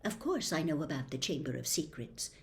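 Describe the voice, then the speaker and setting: A woman voicing the persuasive memory of a young man trapped in a diary, speaking in a hushed, half-whispered way. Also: low voice, animated, a little fast, pitched below the performer's own voice. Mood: secretive. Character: young male phantom in a diary, secretive and persuasive.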